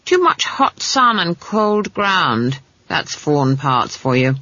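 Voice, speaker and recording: A woman's voice: sits low, very animated, takes it slowly, bright, forceful tone, dry recording, highs cut off, exaggerated voice. A woman voicing a sour, brusque old country witch in a storybook, wry and knowing. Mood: sour, cryptic. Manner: sour, brusque, cryptic, scolding.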